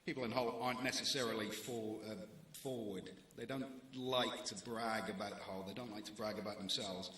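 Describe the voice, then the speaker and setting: A man's voice: on the low side, fairly even intonation, normal speed, hardly any pauses, a bit bright, echoey room, slight background noise. A man in his forties with a Scottish accent, a newspaper editor giving a prepared talk to students with dry, wry humour and comic timing.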